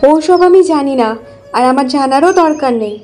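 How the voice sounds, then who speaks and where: A woman's voice: sits high, animated, normal speed, a little mellow, soft background music. A woman voicing a worried, nagging wife of a poor villager in a cartoon story, reproachful and insistent. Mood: exasperated.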